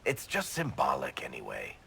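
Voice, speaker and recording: An actor playing a middle-aged roguish dwarf in a video game, wry and offhand with a gravelly voice. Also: male voice, rumbling low, wildly swooping pitch, moderate pace, bright, forceful tone, a little hiss or hum, exaggerated voice. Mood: playful. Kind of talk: video game dialogue acting.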